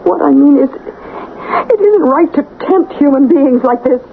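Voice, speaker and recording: An actress playing a distressed, conscience-torn wife in a radio drama, hesitant and dramatic in delivery. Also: female voice, high-pitched, lively intonation, measured pace. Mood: tormented, wavering. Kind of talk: 1970s radio drama acting. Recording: tinny, noisy old radio broadcast.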